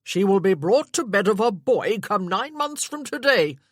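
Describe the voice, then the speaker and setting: A hearty old nobleman bellows with rollicking good cheer, as a woman puts him on. His voice swings widely in pitch and carries a husky grain. He sounds ready to laugh at any moment. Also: sing-song, measured pace, no room sound, clean studio sound. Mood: boisterous. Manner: booming, roaring with laughter. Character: jovial loud elderly nobleman, boisterous uncle.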